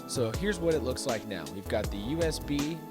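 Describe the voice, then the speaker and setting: A man's voice: medium pitch, animated, moderate pace. Male tutorial presenter, mid-range voice, explanatory step-by-step delivery, heavy music bed. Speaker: video creator giving a tech tutorial. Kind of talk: instructional tutorial narration. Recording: music behind the voice. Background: background music.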